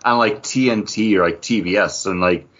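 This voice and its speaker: A man co-hosting a podcast with a husky, rough-edged voice, trading casual banter in a wry, teasing way. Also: on the low side, moderate pace, fairly bright tone, muffled recording. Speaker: podcast co-host.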